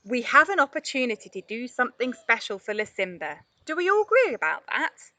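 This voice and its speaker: A gentle animal leader read by a woman encourages friends in a children's story. The delivery is earnest and lilting, in a high, bright voice. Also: sing-song, brisk, no room sound.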